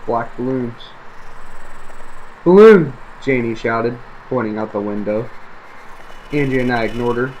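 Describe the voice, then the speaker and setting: A man's voice: medium pitch, level tone, normal speed, rough recording. A man reading a creepy story aloud as scripted narration for online listeners. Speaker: storyteller, online video creator. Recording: faint background noise.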